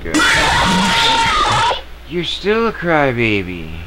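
A man's voice: mid-range voice, huge pitch swings, extremely slow, heavy background noise. A man giving casual gameplay commentary, muttering to himself as he plays a video game. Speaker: gaming video host. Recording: game audio loud behind the voice. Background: loud video game music and sounds.

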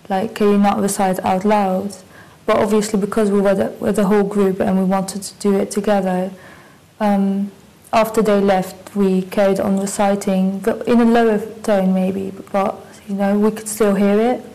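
Young woman, gravelly mellow voice, flat delivery, studio interview. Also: mid-range voice, rough recording. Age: young adult. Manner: relaxed, chatty with many fillers. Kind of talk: casual recollection. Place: dark studio.

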